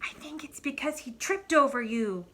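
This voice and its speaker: A woman's voice: high-pitched, animated, normal speed, fairly bright tone, slight background noise, voice pushed up. A woman reading the part of a blunt, well-meaning male gorilla neighbour in a children's storybook, making pointed, matter-of-fact remarks. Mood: concerned.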